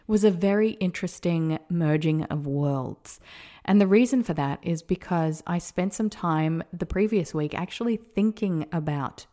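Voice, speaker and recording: A woman's voice: low-pitched, warm tone. A woman in her forties from New Zealand, hosting a podcast and telling a personal story in a reflective, casual way. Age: 40s. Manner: reflective, storytelling with light laughs. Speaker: podcast host. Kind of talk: casual solo monologue.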